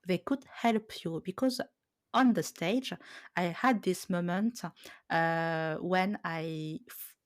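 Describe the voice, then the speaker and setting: French-accented woman host, halting podcast chat with a deep voice, dry close sound. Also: dry recording. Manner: hesitant, many pauses and restarts.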